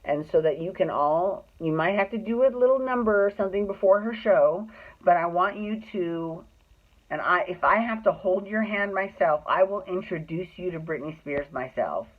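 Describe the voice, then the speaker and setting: A man voicing a persuasive music-business go-between in an anecdote, deliberate and reassuring. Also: high voice. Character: persuasive male music-business go-between making a generous offer. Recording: thin phone-like sound.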